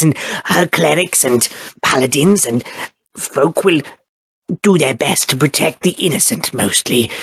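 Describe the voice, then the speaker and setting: A stammering, husky bird-folk cleric, played by a man in a tabletop game. His voice has a gravelly fry and a breathy rasp. He grows solemn when he speaks of his goddess. It is recorded dry and close. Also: medium pitch, fairly bright tone, no echo, exaggerated voice. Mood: reverent. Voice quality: husky, raspy. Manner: stammering, hesitant, then solemn when he preaches. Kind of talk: tabletop character interview.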